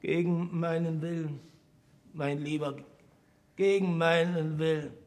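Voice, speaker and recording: An actor in a German radio play voicing an old, fearful yet sly scholar, confessing in a halting, mock-humble way. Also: male voice, a little high. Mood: sly, pleading. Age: older.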